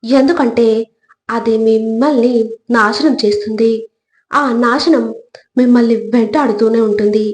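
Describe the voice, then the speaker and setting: A woman reading scripted motivational narration in a deliberate, instructive manner, in short measured phrases. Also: on the high side, darkish tone. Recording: echoey, reverberant voice track.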